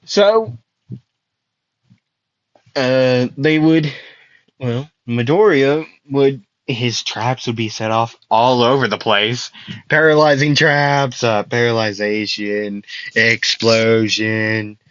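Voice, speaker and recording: A man's voice: mid-range voice, slow pace, fairly bright tone, clean tone, no echo, muffled recording. A young man casually telling a fan-made anime story, rambling with fillers and frequent laughs, his voice getting worn out. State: losing his voice.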